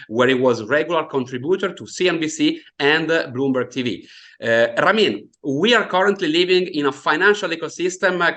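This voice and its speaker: A man's voice: a little high, pushed and bright. A man in his thirties from Italy with an Italian accent, hosting an online finance seminar over a video call with many fillers and hesitations. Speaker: webinar host. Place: indoor home room, video call.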